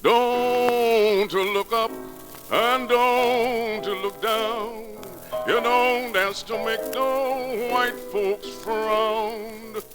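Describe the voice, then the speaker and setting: A man singing a slow, solemn theatrical song in an old 1930s radio recording. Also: very high voice, steady tone, over music, rough recording. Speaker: singer. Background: musical accompaniment.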